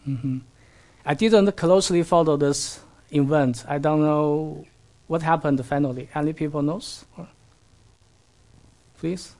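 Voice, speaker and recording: A man whose first language is Chinese, giving an academic lecture in halting English with many fillers and asking the audience questions. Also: on the high side, a little mellow. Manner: halting non-native English with frequent fillers.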